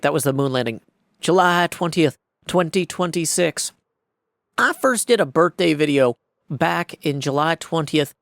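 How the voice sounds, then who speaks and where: A man's voice: fairly high pitch, gravelly, no echo, studio quality. An American man of forty talking casually and reflectively in a personal vlog, with folksy phrasing and rambling asides. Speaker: video creator and host.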